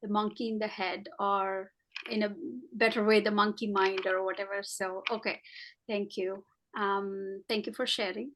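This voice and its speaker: A woman life coach leading a coaching dialogue, asking gentle questions with soft fillers. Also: medium pitch, little pitch movement, normal speed. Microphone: video call.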